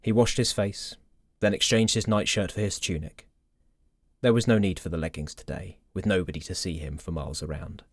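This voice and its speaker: A man reads a fairy tale with measured, gentle storytelling. His voice sits fairly low, and the recording is dry and close, with no room sound. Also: fairly even intonation, no room sound. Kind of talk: scripted storybook narration.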